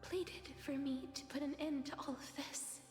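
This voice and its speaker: Woman as a hushed, sorrowful young woman in reverb. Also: high-pitched, fairly even intonation, natural voice. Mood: grave, hushed. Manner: hushed, breathy, formal, deliberate. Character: solemn, sorrowful young woman tied to death. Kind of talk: dramatic video game voice acting. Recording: echoey, long reverb, music underneath. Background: game music.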